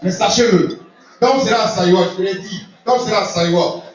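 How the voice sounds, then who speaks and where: Man, higher voice, jovial and laughing, event sponsor speech, echoing hall, crowd behind. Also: a little fast. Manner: jovial, laughing, repeats himself. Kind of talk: speech at a community gala. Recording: roomy, distant, lo-fi recording with crowd. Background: crowd of guests.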